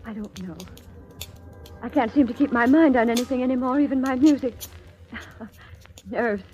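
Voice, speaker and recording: An actress playing a nervous, distracted wife with strained politeness in 1940s radio drama. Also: female voice, on the high side, moderate pace, darkish tone, close to an everyday voice. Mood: nervy, subdued. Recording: muffled old radio broadcast, faint music.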